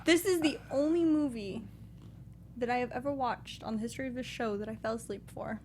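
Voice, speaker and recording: A woman's voice: on the high side, fairly quick, a little mellow, a little hiss or hum. A woman co-hosting a film review panel, chatting in casual banter.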